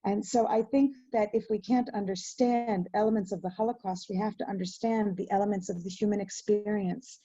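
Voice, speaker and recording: A woman hosting an online commemoration, speaking informally and reflectively in an earnest, emphatic manner with deliberate stresses. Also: medium pitch, fairly even intonation, mellow, slightly rough. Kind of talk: informal reflective webinar talk. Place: indoors on a webinar call. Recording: muffled, roomy online webinar audio.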